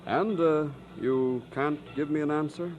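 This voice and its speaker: A man's voice: fairly high pitch, a little slow, darkish tone, natural voice. Actor as courteous, hopeful churchman. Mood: hopeful, deferential. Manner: deferential, hesitant, formal. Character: courteous, hopeful, persistent male church committee member. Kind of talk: old-time radio drama acting.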